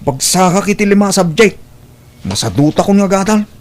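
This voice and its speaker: A man's voice: high voice, expressive, normal speed, a little mellow. A man playing a lazy, complaining young student son in a Filipino radio drama, with a sullen manner.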